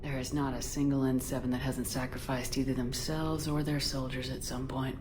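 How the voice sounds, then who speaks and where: A woman acting a steady, tough military commander in a video game, speaking in a terse, dry and direct way. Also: very deep voice, moderate pace, noisy background. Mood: firm, encouraging. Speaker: video game character actor.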